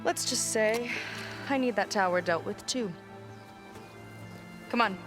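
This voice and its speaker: An actress voicing a confident young woman boat captain who talks in a calm, assured way and takes charge. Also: mid-range voice, slow pace, natural voice. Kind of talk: video game cutscene acting. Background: game score music.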